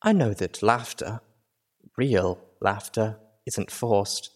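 A man voicing a gentle adult mare healer who speaks slowly, calmly and sadly. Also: lowish voice, husky. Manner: slow, calm and gentle.

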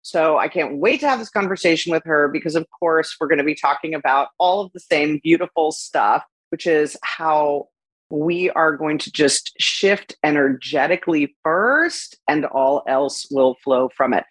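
A woman's voice: low-pitched, moderate pace, no echo. A woman hosting an interview podcast, gushing and upbeat as she welcomes and questions her guest. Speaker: podcast host. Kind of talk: casual interview chat.

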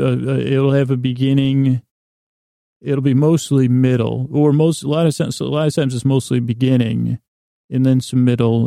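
A man hosting a podcast, rambling through a bedtime story monologue in a gravelly voice, halting and stumbling over his words as he meanders. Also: mid-range voice, dark tone, dry recording, studio quality. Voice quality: gravelly, creaky voice. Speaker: podcast host.

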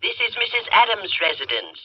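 A formal, solemn woman answering the house telephone in a 1940s radio play. Her voice is husky and swoops widely in pitch. The old recording is muffled. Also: very animated, fairly quick. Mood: solemn, guarded. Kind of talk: 1940s radio drama acting.

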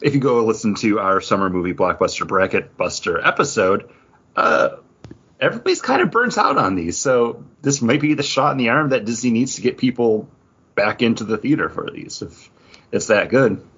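A man's voice: fairly low pitch, big pitch swings, moderate pace, a bit bright, slightly rough. A man co-hosting a podcast in a casual, conversational way, describing things as he chats. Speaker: podcast co-host. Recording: slightly muffled, lo-fi audio.

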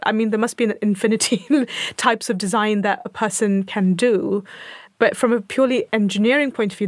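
A woman's voice: medium pitch, dry recording. A woman hosting a podcast in a studio, an engineer explaining her work in an enthusiastic, chatty way. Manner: enthusiastic, laughs easily. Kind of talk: casual podcast conversation.

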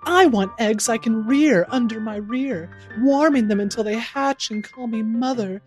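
A man's voice: very high voice, a little slow, soft background music. A man playing a haughty, eccentric woman newcomer with a formal, theatrical delivery in a comic audio play. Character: haughty, eccentric woman newcomer who mothers peacock eggs.

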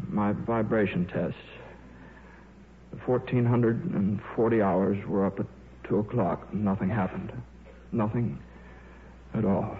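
A shy, defeated scientist of middle age speaks in halting, stammered phrases, earnest and absent-minded. It is 1950s radio drama, with a narrow, tinny sound and light background noise. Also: male voice, fairly low pitch. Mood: weary, resigned. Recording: muffled, noisy old radio broadcast.

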